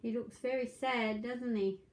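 Slow-paced middle-aged woman reading to children. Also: fairly high pitch, fairly even intonation. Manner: simple, questioning, child-directed. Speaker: children's storytime host. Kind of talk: read-aloud storytelling to young children. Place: small domestic room indoors.

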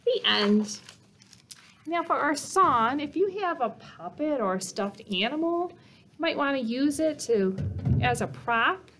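A woman in her thirties reading a picture book aloud for children as a storytime host, expressive and deliberate. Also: high-pitched, slight background noise. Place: small indoor reading corner.

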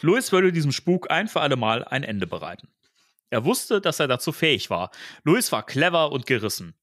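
A man's voice: mid-range voice, sing-song, normal speed, fairly bright tone, dry recording, studio quality. A man with a German accent, co-hosting a podcast, reading a story playfully with dramatic emphasis and joking asides. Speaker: podcast co-host reading a film novel aloud.

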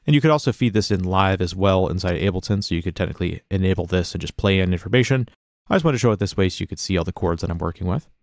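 A man narrating a software tutorial as an instructor, in a casual, conversational, explanatory manner, close to the mic. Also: sits low, animated, very fast, creaky. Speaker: music production tutorial instructor.

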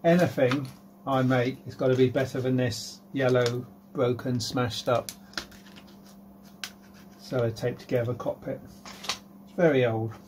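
A man in his fifties presenting a casual hands-on how-to vlog, informal and low-key, thinking aloud as he works. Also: steady tone, unhurried, pauses often, darkish tone. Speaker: hobbyist presenter. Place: at home, indoors and in a workshop. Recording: noisy home-made recording with clicks.

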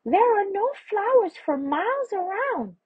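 Tiny, squeaky, very high storybook bee. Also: female voice, big pitch swings, measured pace, phone-quality sound, heavily acted. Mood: eager. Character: tiny, sweet, grateful talking bee. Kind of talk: children's storybook character voice.

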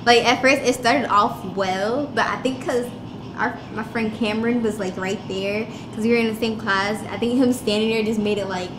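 A woman's voice: on the high side, fairly even intonation, normal speed. A young woman in her late teens, a student, casually recounting something in informal speech full of "like". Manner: informal, frequent "like". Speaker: student being interviewed. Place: school hallway indoors. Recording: faint room ambience noise.

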